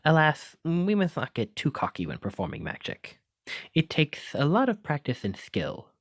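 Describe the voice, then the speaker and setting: A man's voice: fairly high pitch, wildly swooping pitch, moderate pace, vocal fry, no room sound, studio quality, exaggerated voice. A man playing a clumsy, kindly wizard teacher in an ASMR roleplay, with theatrical, old-fashioned, encouraging phrasing. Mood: genteel, instructive. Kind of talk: ASMR roleplay addressed to the listener.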